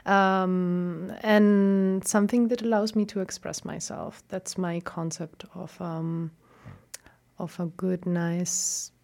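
A woman's voice: medium pitch, dark tone. Italian woman musing in a podcast chat. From Italy. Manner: reflective, hesitant with frequent ums and laughs. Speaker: guest. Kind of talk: casual interview chat.

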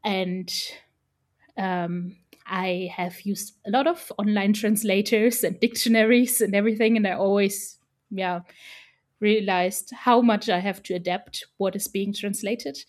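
A woman's voice: medium pitch, fairly even intonation, unhurried. A woman whose first language is German, speaking in English as an interview guest about her research, thoughtful and full of ums and restarts. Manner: thoughtful, many fillers and restarts. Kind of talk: casual academic interview.

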